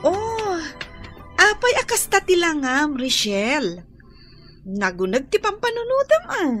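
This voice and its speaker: A protective, outspoken young woman friend. Her very high voice swings widely and takes its time as she gives emphatic advice in an Ilocano radio drama. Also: big pitch swings, slow, a bit bright, soft background music, heavily acted. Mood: concerned.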